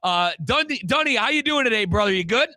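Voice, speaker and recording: A man's voice: extremely high pitch, animated, quick pace, bright, forceful tone, dry recording. An American man hosting a soccer show, talking in an emphatic, colloquial way and repeating phrases for effect. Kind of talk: sports talk commentary and interview.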